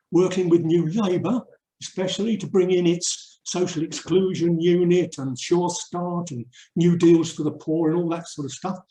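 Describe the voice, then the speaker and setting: An older man from England giving a reflective webinar talk, earnest and emphatic, with deliberate pauses. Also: fairly high pitch, mellow. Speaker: urban mission speaker and theologian. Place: indoor room, online webinar. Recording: roomy, echoey webinar audio.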